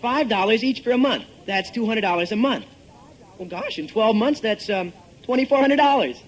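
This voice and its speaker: An eager American schoolboy in a vintage radio comedy, with a very high voice that bounces around. He is earnest and slangy, always protesting and pleading his case. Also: lively intonation, moderate pace. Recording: old-time radio recording from tape.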